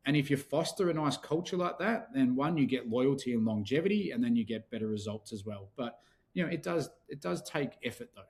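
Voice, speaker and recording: A man being interviewed as a company founder on a podcast, explaining things in a steady, practical way. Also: mid-range voice, a little fast. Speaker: podcast guest, business founder. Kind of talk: interview conversation.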